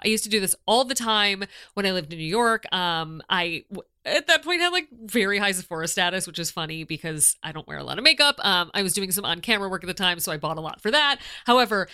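Woman, podcast host, bubbly, chatty, bright tone, lively pitch. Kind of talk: casual podcast chat.

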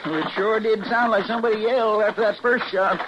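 Guy doing a folksy timid deputy sidekick, high voice, really noisy old radio show. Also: steady tone, fast, highs cut off, close to an everyday voice. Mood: uneasy, puzzled. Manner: hesitant, folksy, easily astonished. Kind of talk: 1950s radio western acting.

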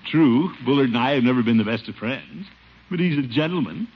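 An actor playing a blustery, pompous middle-aged uncle, grumbling and defensive, in a 1950s radio comedy. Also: male voice, medium pitch, expressive, fairly quick, slightly rough. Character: blustery middle-aged uncle and water commissioner, flustered host. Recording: muffled, noisy 1950s radio recording.

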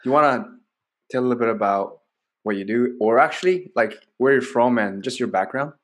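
A man's voice: medium pitch, fairly quick, a bit bright. A man in his twenties, a native Kazakh speaker, hosting a casual podcast and asking curious, self-deprecating questions. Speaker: podcast host. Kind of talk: casual interview chat.

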